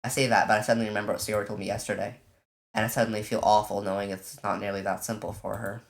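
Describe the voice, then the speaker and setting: A let's player casually commenting on a visual novel and reading its text aloud, in an informal, halting way. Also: low voice, flat delivery, vocal fry. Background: game music.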